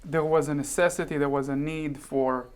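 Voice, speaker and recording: Man from israel, slightly higher voice, measured, explanatory, studio interview guest. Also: steady tone. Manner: measured, explanatory, frequent "right?" and "um". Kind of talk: casual interview chat. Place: television studio.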